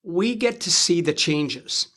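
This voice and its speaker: A man in his fifties, a financial advisor co-hosting a conversational talk, speaking in a measured, thoughtful way and pausing to rephrase. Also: fairly high pitch, expressive, sharp tone. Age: 50s. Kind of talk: conversational interview.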